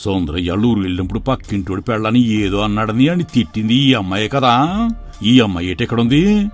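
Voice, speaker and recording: A man voicing a scheming middle-aged uncle in an animated story, sly and wheedling. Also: fairly low pitch, brisk, faint music behind, close to an everyday voice. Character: scheming middle-aged man plotting to seize his sister's estate.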